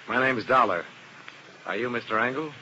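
A man's voice: medium pitch, moderate pace, pushed and bright. An actor playing a hard-boiled male insurance investigator who narrates the case in a clipped, matter-of-fact way, in a 1950s radio drama. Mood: probing. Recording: muffled old radio recording with hiss.

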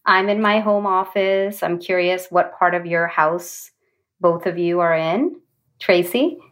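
A woman hosts a podcast from her home office, speaking over a video call. She asks short, curious questions and keeps her guests talking with steady encouragement. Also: fairly low pitch, measured pace. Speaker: podcast host. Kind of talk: friendly interviewing over a call.

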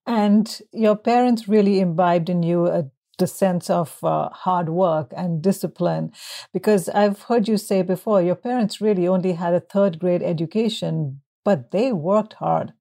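A woman's voice: lowish voice, a little slow, vocal fry, warm tone. A woman interviewing a guest, recapping his story and turning it into questions.

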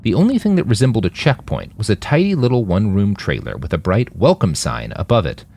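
A man's voice: fairly low pitch, big pitch swings, a little fast, gravelly, warm tone, a little hiss or hum. A man narrating scripted fiction as an audiobook-style story. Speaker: author and narrator.

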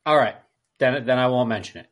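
A man's voice: mid-range voice, brisk, a little hiss or hum. Rambling, hesitant man in casual chat. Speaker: podcast co-host.

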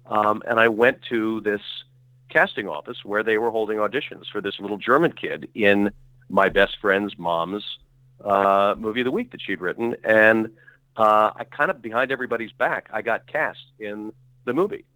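A middle-aged man recalls his career in loose, meandering sentences, circling back and restarting as he goes. His voice sits a little low but rings bright and forceful. The sound is dry and close, with no room around it. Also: moderate pace, no echo, low-quality recording. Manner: rambling, self-correcting, with frequent fillers. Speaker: actor guest. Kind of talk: relaxed conversational storytelling.

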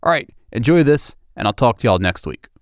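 A man's voice: medium pitch, big pitch swings, a little fast, no echo, clean studio sound. A man hosting a podcast, delivering scripted documentary-style narration.

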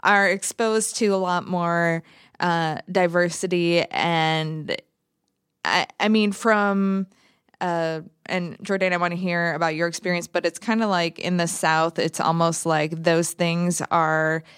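A woman hosts a casual conversation in a somewhat low, smooth voice. She talks thoughtfully, pausing on fillers and restarting sentences. The sound is dry and close, with no room echo. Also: steady tone, a bit bright.

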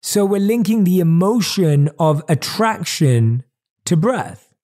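A man giving a motivational talk, telling a reflective story and slowing down and stretching words to stress them. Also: high-pitched, lively intonation, dark tone. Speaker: host.

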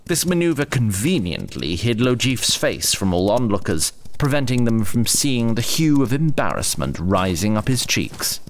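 A man narrating a scripted fantasy story in a deliberate storytelling delivery. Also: medium pitch, big pitch swings, a little hiss or hum.

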